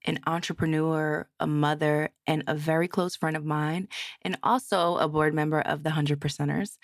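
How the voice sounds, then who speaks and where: A woman's voice: sits low, no room sound, clean studio sound. A woman hosting a podcast, introducing her guest with enthusiastic praise. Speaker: podcast host.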